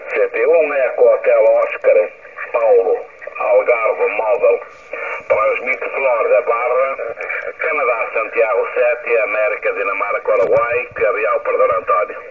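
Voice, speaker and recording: A man's voice: fairly low pitch, measured pace. An older man with a European Portuguese accent talks in a chatty, repetitive way as a radio ham. His voice comes through a shortwave set with a muffled, narrow sound. Heavy static and hiss surround it. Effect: heard through a shortwave radio. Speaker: amateur radio operator. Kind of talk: casual ham radio chat. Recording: dull, very noisy shortwave radio reception. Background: loud radio static and hiss.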